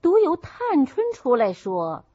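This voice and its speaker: A woman's voice: sits high, huge pitch swings, takes it slowly, warm tone, no room sound. A woman reading a classical novel aloud as scripted audiobook narration, in a dull, muffled recording.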